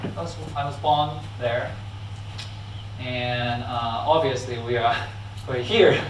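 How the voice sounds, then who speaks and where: A man from Hong Kong with a Hong Kong accent, giving a friendly, halting talk with slides, full of fillers and restarts, with frequent laughs. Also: medium pitch, a little hiss or hum. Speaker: guest speaker. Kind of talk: informal lecture with slides. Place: church hall. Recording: echoey hall, mic at a distance.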